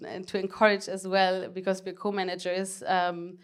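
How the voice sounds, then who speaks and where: German lady on a panel, kinda flat delivery, lots of ums and restarts, clean voice. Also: lowish voice, moderate pace, clean tone. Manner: hesitant, many fillers and restarts. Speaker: panel speaker, arts project co-manager. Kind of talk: panel talk.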